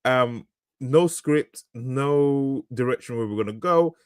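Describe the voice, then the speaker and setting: A man's voice: mid-range voice, slow, clear, resonant tone, no room sound, studio quality. A man co-hosting a podcast, rambling and laughing often, with frequent swearing. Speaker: podcast co-host, author. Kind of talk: casual banter. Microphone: studio mic.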